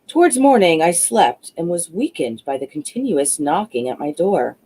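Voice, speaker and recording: Low, lively-pitched woman reading a novel. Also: normal speed, breathy edge. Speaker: audiobook reader. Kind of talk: narrated reading of a classic novel.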